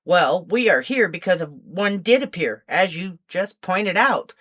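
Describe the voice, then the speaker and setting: Lady doing a grumpy older guy agent in a story, formal n stiff, sharp bright tone. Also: fairly low pitch, lively intonation, a little slow. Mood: scolding.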